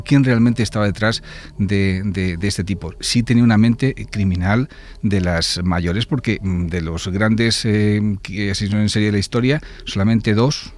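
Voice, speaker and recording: A man with a fairly low voice and a Castilian Spanish accent comments on criminal profiles. He speaks spontaneously, in colloquial, emphatic phrases, as in an interview. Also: darkish tone.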